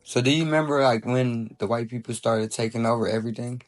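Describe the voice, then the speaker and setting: A man's voice: medium pitch, quick pace. A man in his thirties interviewing his grandfather casually, asking probing questions with many fillers. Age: 30s.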